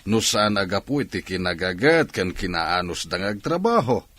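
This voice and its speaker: A man's voice: low voice, sing-song, moderate pace, a bit bright, full character voice. A man playing an earnest, firm village man with a Filipino accent in a radio drama. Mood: insistent. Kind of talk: Ilocano radio drama acting.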